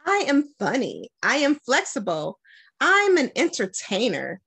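A middle-aged American woman from Detroit, a school principal, doing an expressive storytime read-aloud with deliberate phrasing. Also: sits high, creaky, slightly rough. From Detroit, United States. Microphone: webcam at about arm's length.

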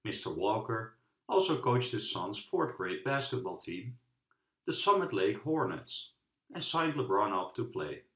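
Male storybook narrator, very varied intonation, measured dramatic phrasing, echoey room. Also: on the low side, very animated, bright, forceful tone. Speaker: storybook reader. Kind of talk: children's book read-aloud narration. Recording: roomy, echoing sound.

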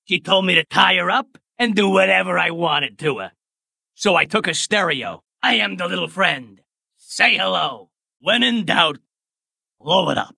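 A man voicing a brash, greedy, wisecracking male goblin in a video game, gravelly and sharp, like a fast-talking wise guy. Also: high-pitched, expressive, no room sound, studio quality, heavily acted. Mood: cocky, wisecracking. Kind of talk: video game character voice lines.

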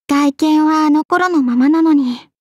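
A poised little girl from a game. Her voice is high and close, with playful, ladylike politeness and a teasing edge. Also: darkish tone, no echo. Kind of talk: Japanese game character dialogue.

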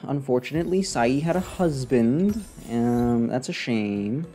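A man's voice: mid-range voice, expressive, measured pace, dark tone. A young man doing sarcastic, unimpressed, casual commentary as he streams a game into a close microphone. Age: young adult. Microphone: close desk microphone. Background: game music.

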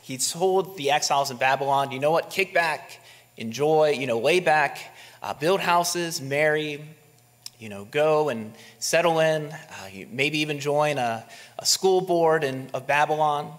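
A man's voice: fairly high pitch, a little slow. A man in his thirties, a pastor, preaching a sermon from a pulpit in a conversational way, asking his listeners rhetorical questions. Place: church sanctuary, at a wooden pulpit. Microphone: pulpit microphone. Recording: long reverb of a church hall.

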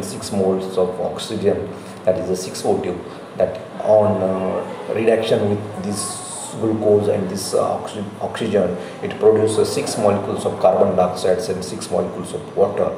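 A middle-aged man with an Indian accent giving a slide lecture as a college professor, speaking in halting, repetitive phrases. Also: on the low side. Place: indoor room, recorded lecture. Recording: very echoey room, faint noise.

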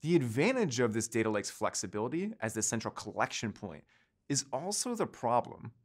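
A man's voice: mid-range voice, sing-song, fairly quick. Guy in his 30s teaching a cloud course, voice goes up and down a lot, explaining step by step in a studio. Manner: explanatory, gestures with both hands while talking. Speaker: course instructor. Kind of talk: scripted course lecture.

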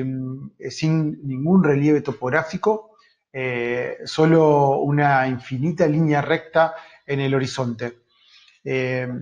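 A middle-aged man with an Argentine accent from Rosario gives an online architecture lecture in a halting, reflective way with long pauses. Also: on the high side. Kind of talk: online lecture on own work. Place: small book-lined room indoors. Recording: dull, lo-fi web-call audio.

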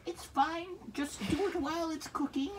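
A high-voiced performer voicing an elderly, muddled grandma teddy bear who gives halting cooking instructions in an amateur puppet skit. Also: steady tone, natural voice. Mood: muddled, matter-of-fact. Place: small bedroom, indoors. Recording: noisy home recording with clicks.

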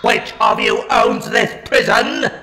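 Ranting robotic alien tyrant, slow staccato commands, piercing electronic edge, long echoing reverb, sci-fi audio drama. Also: male voice, extremely high pitch, music in the background. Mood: commanding, menacing. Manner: barking commands in clipped, repeated phrases. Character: ranting, imperious Dalek, an alien war machine. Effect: robotic ring-modulated filter.

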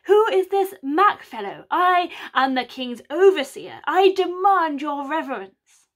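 A woman's voice: high-pitched, big pitch swings, measured pace, voice pushed up. A woman voicing a cocky, lean male thief who fakes an overblown posh southern English accent, swaggering and sly. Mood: pompous. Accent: put-on posh southern English.

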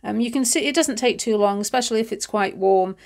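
A woman with a stuffy, nasal, congested voice talks through a hands-on craft demonstration. She is relaxed and chatty, pausing with ums as she explains each step. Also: on the high side. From United Kingdom. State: nasal and congested from hay fever. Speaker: craft tutorial host. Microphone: overhead camera on hands, voice-over.

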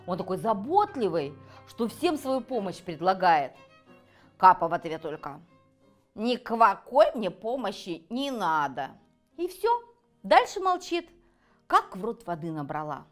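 A middle-aged woman reading a children's fairy tale aloud in an expressive storytelling style. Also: mid-range voice. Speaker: children's writer reading her own tale. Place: cosy furnished room set, indoors.

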